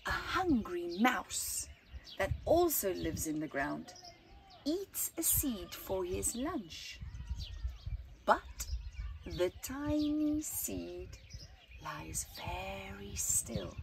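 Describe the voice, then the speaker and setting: A middle-aged woman reading a children's picture book aloud outdoors, phrasing deliberately with dramatic emphasis and hushed words. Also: medium pitch, big pitch swings, gravelly, background noise. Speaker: storytime reader. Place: outdoors at a flower farm. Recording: outdoor ambience with birdsong.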